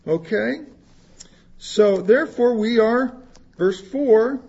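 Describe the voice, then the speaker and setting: A man's voice: very high voice, takes it slowly, vocal fry, a little mellow, slightly rough, muffled recording. A man preaching a sermon, reading scripture aloud and explaining it in plain words, with asides to the congregation. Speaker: pastor.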